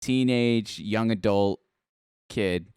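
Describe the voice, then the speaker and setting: Upbeat guy in his 20s hosting a stream chat, smooth clear voice. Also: on the low side, slow, no echo, studio quality. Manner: energetic, upbeat, addresses the chat. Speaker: host. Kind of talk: casual live-stream interview.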